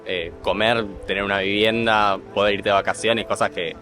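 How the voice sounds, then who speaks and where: This is an Argentine man answering casually in an interview. His voice is on the low side. Music is clearly audible behind his off-the-cuff remarks. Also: steady tone, moderate pace, bright, forceful tone. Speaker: street interviewee. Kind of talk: casual vox pop answer.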